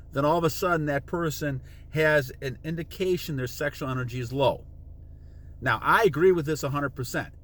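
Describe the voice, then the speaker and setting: A man's voice: on the high side, a bit bright. A man in his sixties hosting a casual self-help talk, rambling and repeating himself, reading passages from a book and humming chants to demonstrate. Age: 60s. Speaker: channel host.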